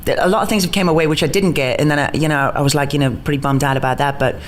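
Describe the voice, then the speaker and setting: A man from the north east of England whose accent has become a mix of Geordie and American speaks quickly and excitedly, tripping over his words and laughing. His voice sits a little high. There is a faint hum of ambience behind him. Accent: mixed, mostly neutralised Geordie with American touches. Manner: rapid, bubbly, stumbling restarts, laughs often. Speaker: interviewed stage actor. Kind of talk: casual interview chat.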